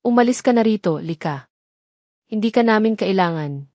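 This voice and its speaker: A woman's voice: mid-range voice, animated, normal speed, a little mellow, dry recording, studio quality, natural voice. A woman voicing a protective, resolute male friend giving firm orders in an audio drama. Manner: firm, commanding.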